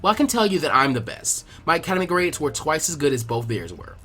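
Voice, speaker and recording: A man takes the part of a boastful young girl ninja in an anime fan story. The voice is fairly high and self-assured, with a sharp, challenging tongue. Music plays behind. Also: sing-song, quick pace. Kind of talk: acted fan fiction reading.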